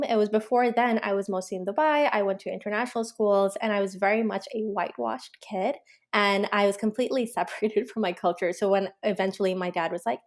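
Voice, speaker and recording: A young woman from Pakistan, an author and illustrator, answering interview questions in a relaxed, reflective way with many ums and likes. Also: medium pitch. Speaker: guest, children's book author and illustrator.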